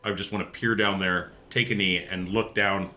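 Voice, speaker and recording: A man's voice: low voice, fairly even intonation, moderate pace, sharp tone, slight background noise. A man chatting casually as a player in a tabletop role-playing game.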